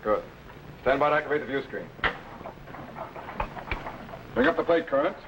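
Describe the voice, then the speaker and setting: An actor playing a commanding time-travel captain in a 1950s TV serial, giving brisk, businesslike orders. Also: male voice, mid-range voice, lively intonation, background noise, lightly acted. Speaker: lead of the series.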